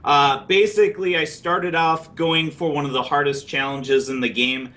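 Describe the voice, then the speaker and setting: A man's voice: a little high, steady tone, normal speed, pushed and bright. A man on a podcast talking about his gaming videos in an easygoing, matter-of-fact way. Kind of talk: casual podcast chat.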